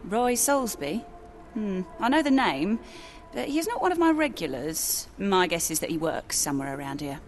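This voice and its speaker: A woman's voice: mid-range voice, sing-song, normal speed, soft background music. An actress playing a guarded, plain-spoken woman who runs a Victorian port tavern in a video game. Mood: matter-of-fact. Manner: wary, matter-of-fact. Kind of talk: video game cutscene acting.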